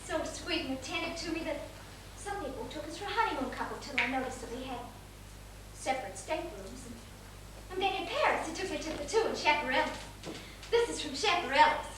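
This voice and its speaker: Actress as troubled young woman, high voice, halting dramatic delivery, stage play, echoey distant noisy recording. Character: fragile, troubled young woman recounting last summer's trauma. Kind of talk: amateur stage play acting.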